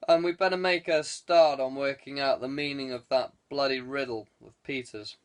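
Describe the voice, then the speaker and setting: A man's voice: mid-range voice, steady tone, normal speed, natural voice. A man voicing a blunt, dejected male conspirator who grumbles, in an audiobook. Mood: dejected grumbling. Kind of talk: audiobook character dialogue.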